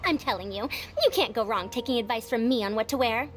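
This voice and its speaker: A woman's voice: a little high, a little fast, soft background music, lightly acted. A woman playing a self-assured, bossy, old-fashioned senior scholar in an anime video game, with an assertive, instructing manner. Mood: boastful.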